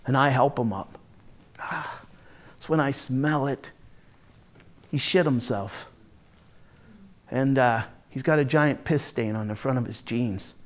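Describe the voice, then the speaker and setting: A man playing a volatile, hard-drinking, foul-mouthed Marine from a rough neighborhood, telling his story in a raw, halting dramatic monologue. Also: medium pitch, close to an everyday voice. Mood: grim, pained. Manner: raw, profane, halting then bursting into anger. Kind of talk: first-person dramatic monologue, conversational storytelling.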